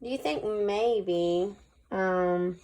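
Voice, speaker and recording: A woman in the role of a reading helper, chatting casually, gently prompting and asking questions. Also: medium pitch, very slow. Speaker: adult helper prompting and questioning a young reader. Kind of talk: casual chat about a storybook.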